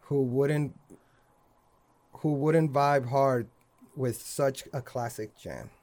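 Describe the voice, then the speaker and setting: A man's voice: medium pitch, darkish tone. A man co-hosting a podcast in laid-back casual chat, swearing freely and drawing out his words. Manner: laid-back, profane, drawn-out delivery. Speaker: podcast co-host.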